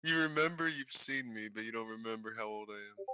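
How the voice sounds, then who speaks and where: A man in his twenties in a casual group chat, teasing dryly with deadpan replies. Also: fairly low pitch, brisk, smooth, low-quality recording. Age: 20s.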